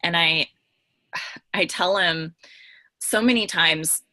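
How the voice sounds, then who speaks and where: A woman's voice: on the low side, takes it slowly, noisy background. A woman chatting casually and candidly as a podcast panelist, with an emphatic, informal and gushing way of talking. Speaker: podcast panelist and book editor.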